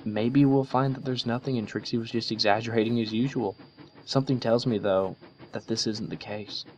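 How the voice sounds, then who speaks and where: A man's voice: medium pitch, fairly even intonation, moderate pace, slight background noise, pitched above the performer's own voice, close to an everyday voice, low-quality recording. A man voicing an earnest, principled young female unicorn pony who lectures her friend.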